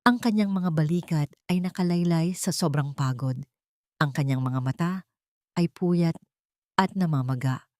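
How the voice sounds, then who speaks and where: A woman's voice: sits low, measured pace, darkish tone, no room sound, studio quality. A woman storyteller reading scripted, dramatic narration of a romance drama.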